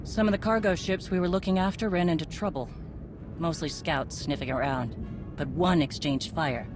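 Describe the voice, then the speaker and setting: An actress playing a stern, battle-hardened warrior leader in a video game, blunt and commanding. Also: female voice, deep voice, normal speed, lightly acted. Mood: frustrated, guarded. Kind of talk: video game dramatic acting. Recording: music under the voice.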